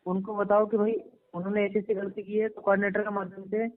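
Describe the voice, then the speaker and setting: A man on a phone call explaining slowly, often adding tag questions. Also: sits high, monotone. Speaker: ashram staff member on the phone. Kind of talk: phone argument. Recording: tinny phone line.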